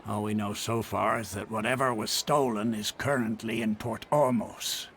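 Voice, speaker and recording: Gravelly, wry mercenary chief. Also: male voice, lowish voice, fairly even intonation, slight background noise. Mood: matter-of-fact. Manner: wry, measured, informal. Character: gruff, unhurried male mercenary chief, wry and worldly. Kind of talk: video game voice acting. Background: soft game music.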